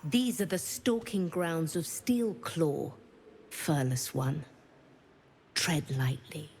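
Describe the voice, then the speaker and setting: A low, grave forest dweller. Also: female voice, animated, slow pace, a little mellow, a little hiss or hum, heavily acted. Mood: warning. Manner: grave, cautioning.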